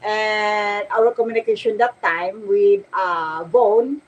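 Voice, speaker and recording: A woman with a Filipino accent chatting casually to her viewers as a vlogger, in a halting, giggly manner. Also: mid-range voice, steady tone.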